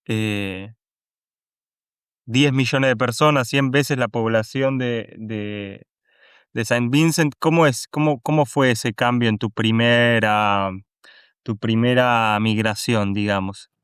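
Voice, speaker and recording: A man's voice: medium pitch, slow, a bit bright, clean tone, dry recording, studio quality. Man hosting an interview. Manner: hesitant, restarts his phrasing. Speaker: podcast host. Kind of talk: interview questions.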